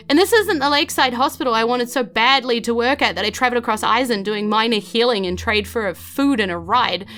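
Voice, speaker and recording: A woman's voice: a little high, a bit bright, pitched above the performer's own voice. An earnest, indignant nurse acted out by a woman with a New Zealand accent in a tabletop game. The delivery is urgent and worried, over faint music. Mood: urgent, upset.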